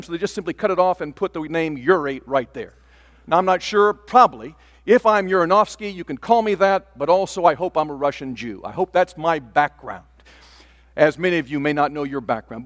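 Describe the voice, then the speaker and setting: Older preacher in a reverberant church hall. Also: male voice, sits high. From Taiwan. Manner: earnest preaching with drawn-out emphatic words. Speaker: pastor. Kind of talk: sermon. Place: church sanctuary.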